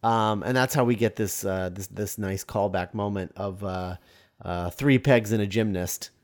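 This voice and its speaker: Man hosting, halting casual delivery. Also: fairly low pitch, a little mellow. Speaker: podcast host. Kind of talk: casual film discussion.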